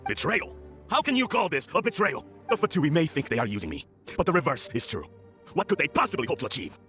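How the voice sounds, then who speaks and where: A man's voice: fairly high pitch, sing-song, fast, bright, forceful tone, faint music behind. An actor playing a proud, scheming middle-aged male clan lord in a video game, pompous and theatrical. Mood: grandiose, fervent. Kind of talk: dramatic video game acting.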